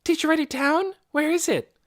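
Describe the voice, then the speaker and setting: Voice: high voice, normal speed, voice pushed up, close to an everyday voice. A narrator voicing an earnest, inquisitive young girl with an insistent, questioning manner in an audiobook. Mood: excited. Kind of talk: audiobook character dialogue.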